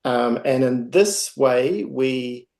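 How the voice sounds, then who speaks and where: Casting: man with a New Zealand accent, unhurried and halting, video-call presenter. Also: medium pitch, animated, creaky, a little mellow. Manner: hesitant, many ums and restarts. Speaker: guest speaker, project founder.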